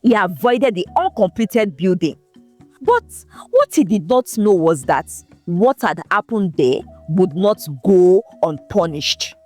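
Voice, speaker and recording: A woman narrating a folktale in a scripted, expressive, dramatic storytelling manner. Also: mid-range voice, takes it slowly, soft background music.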